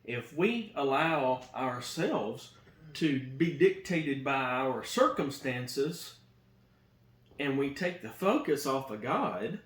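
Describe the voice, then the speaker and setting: A man's voice: medium pitch, expressive, slow pace, reverberant. A man talking casually with his wife about faith and marriage, earnest and preachy, often repeating himself. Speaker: co-host, husband.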